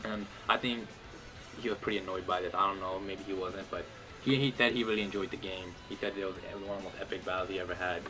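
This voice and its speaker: A man's voice: steady tone, quick pace. Rambling young man over faint game music. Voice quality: says he has a deep voice. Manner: rambling, filler-heavy, lots of "like" and "you know". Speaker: gamer commentating his own battle. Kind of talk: casual game commentary.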